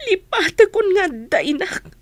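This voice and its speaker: A woman's voice: very high voice, sing-song, slow, no room sound, heavily acted. A woman playing a meek, troubled and prayerful character in an Ilocano-accented radio drama. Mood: tearful.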